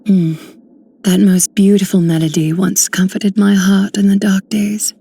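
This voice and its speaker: A woman playing a regal, composed noblewoman in a video game, with breathy, stately and poetic delivery. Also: medium pitch, flat delivery, unhurried, mellow. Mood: wistful. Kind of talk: scripted game character voicelines.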